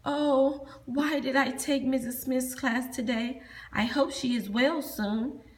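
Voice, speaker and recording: A woman voicing a flustered substitute teacher who calls out to children in a high, loud, bossy voice. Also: steady tone, slow, reverberant.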